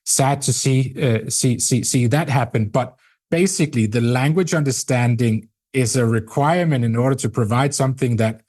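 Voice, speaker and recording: A middle-aged Danish man speaking English with a Danish accent, a company CEO answering interview questions in a halting way, repeating words and filling pauses. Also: mid-range voice, a little mellow. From Denmark. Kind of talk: interview conversation.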